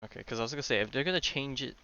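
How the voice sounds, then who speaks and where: A man's voice: mid-range voice, racing, pushed and bright, smooth. A man giving casual, offhand and rambling commentary while livestreaming a game. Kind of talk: casual live gameplay commentary. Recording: slightly dull sound, faint game music.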